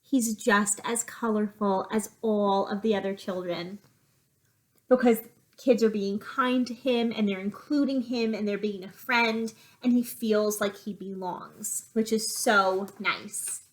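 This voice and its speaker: A woman's voice: medium pitch, fairly even intonation, unhurried, rough recording. A woman teacher reading a picture book aloud to children, with simple explanatory asides.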